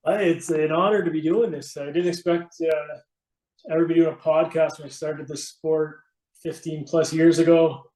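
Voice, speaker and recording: A man's voice: fairly high pitch, fairly even intonation, moderate pace, darkish tone. A middle-aged man from Canada talking casually as an interview guest about his own experience. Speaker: podcast guest, veteran firefighter and competitor. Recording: roomy, echoey sound.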